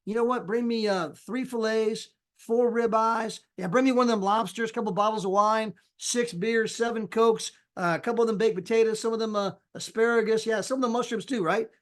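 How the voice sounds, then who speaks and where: A man giving an energetic sales pitch on a live call, casual and folksy, acting out the people he quotes. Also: brisk, hardly any pauses. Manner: animated, acts out quoted people with big pitch jumps. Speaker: sales presenter.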